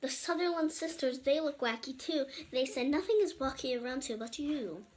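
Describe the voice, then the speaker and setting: Young female child reader, high voice, chatty picture-book read-aloud with asides, noisy home recording. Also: normal speed, a little mellow. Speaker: storybook reader and channel presenter.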